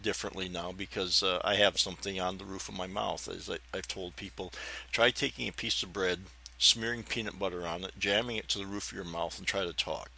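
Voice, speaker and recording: Low bright-voiced American man with slight lisp. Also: fairly even intonation, brisk, vocal fry, slight background noise, poor audio. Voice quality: slight whistling lisp from dentures. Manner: rambling, hesitant, folksy. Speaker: podcast host. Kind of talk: reminiscing personal storytelling.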